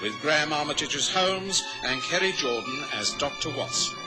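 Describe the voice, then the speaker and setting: Higher-pitched male radio announcer over music. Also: expressive, moderate pace, rough recording. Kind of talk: old-time radio announcing. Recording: loud music over old broadcast. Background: loud music.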